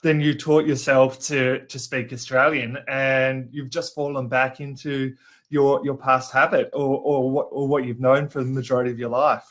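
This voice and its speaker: A man's voice: medium pitch, level tone, moderate pace. A man appearing as a guest on a leadership interview, telling anecdotes, asking questions and laughing often. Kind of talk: casual interview over a live video call.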